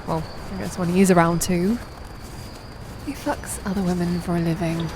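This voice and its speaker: A woman acting a young, sardonic and guarded daughter in a naturalistic audio drama, with dry, deadpan teasing. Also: lowish voice, normal speed, natural voice. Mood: wry, bitter. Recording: noticeable background noise and ambience.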